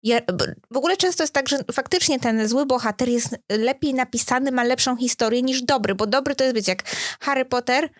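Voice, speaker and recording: A woman co-hosting a casual podcast, telling things in an animated way and asking rhetorical questions. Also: high-pitched, fairly quick, no room sound, clean studio sound. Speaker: podcast co-host. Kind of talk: casual chat.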